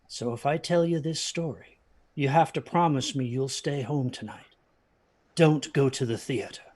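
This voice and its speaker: A man's voice: mid-range voice, normal speed, a little mellow, husky, lightly acted. An actor playing a protective, haunted father who tells his children a ghost story in a hesitant, earnest way, in an audio drama. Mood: anxious, protective. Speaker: storyteller narrator.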